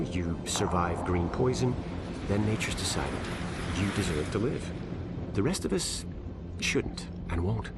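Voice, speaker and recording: An actor playing a calm, fanatical scientist villain in a video game, musing in a condescending, self-satisfied monologue. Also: male voice, low voice. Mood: cold, fatalistic. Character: smug, calm, fanatical male scientist villain justifying mass death. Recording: loud music under the voice.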